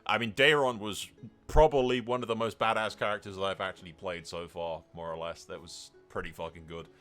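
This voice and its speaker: Male gaming host, slightly low and bright voice, casual and sweary roleplay commentary. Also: steady tone, a little fast, faint music behind. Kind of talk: casual, reactive video game roleplay commentary.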